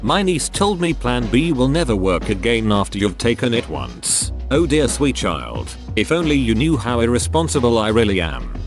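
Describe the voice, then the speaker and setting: A man's voice: mid-range voice, big pitch swings, moderate pace, few pauses. A man narrating forum posts in a wry, scripted reading. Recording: clear music bed underneath.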